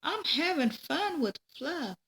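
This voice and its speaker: A woman voicing a picky, playful young girl in a children's storybook, with expressive, childlike phrasing. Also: high voice, slow, pitched above the performer's own voice, heavily acted. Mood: eager, pleading. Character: picky, playful young girl who loves her pet duck.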